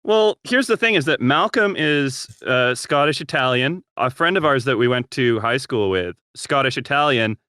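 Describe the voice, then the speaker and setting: A young man with a bright, tense voice that swings widely as he makes his points. He talks in an emphatic, mock-outraged way, and the sound is dry and close. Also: medium pitch, lively intonation, moderate pace, hardly any pauses, clean tone, no echo. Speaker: podcast co-host. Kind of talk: casual chat.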